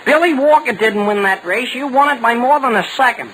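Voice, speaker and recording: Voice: mid-range voice, fairly quick, a bit bright, natural voice. An actor playing an eager, muddled teenage boy who blurts things out in a 1940s radio sitcom, on a noisy vintage broadcast. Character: excitable, muddled teenage boy, the son's pal.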